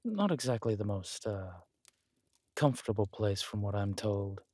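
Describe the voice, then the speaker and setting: A man playing a scheming, aristocratic villain husband in an intimate roleplay audio drama, suave and deliberate, slipping into breathy near-whispers. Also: on the low side, big pitch swings, darkish tone, no echo. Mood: smug, musing. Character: smooth, scheming villain husband, usurper of a kingdom.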